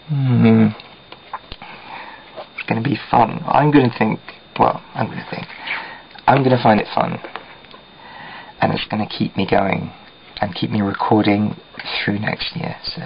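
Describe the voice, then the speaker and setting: A young British man with a croaky voice, chatting casually to camera at home, rambling and often dropping into a whisper. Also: mid-range voice. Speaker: vlogger. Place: at home by a window. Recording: dull lo-fi home video with faint hiss.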